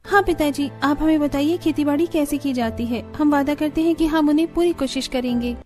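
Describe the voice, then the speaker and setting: A woman's voice: sits high, brisk, a little mellow, faint music behind, lightly acted. A woman voicing an earnest, dutiful young daughter-in-law, caring but firm, in a cartoon story. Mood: caring, resolute. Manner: earnest, pleading. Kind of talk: Hindi cartoon story voice acting.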